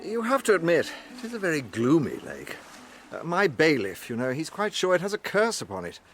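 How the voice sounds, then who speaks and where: An actor playing an evasive, falsely casual English gentleman in a period radio drama, with hesitant, stammering politeness. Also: on the high side, huge pitch swings, a little fast, soft background music. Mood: darkly jocular.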